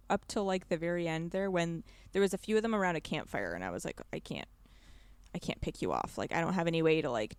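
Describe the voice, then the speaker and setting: A woman with a slightly low voice and very animated pitch chats as a podcast co-host. She gives opinions in loose, colloquial speech and acts out her reactions, in a very dry recording with no room sound. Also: no room sound. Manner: colloquial, frequent "like", acting out her own reactions. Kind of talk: casual chat, reacting and giving opinions.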